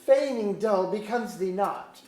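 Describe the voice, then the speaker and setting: Slow, scheming courtly earl played by a woman. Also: mid-range voice, expressive, warm tone, close to an everyday voice. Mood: probing. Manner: formal declamatory archaic diction. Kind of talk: staged reading of a mock-Shakespearean verse play. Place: museum auditorium staged reading. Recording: echoey auditorium.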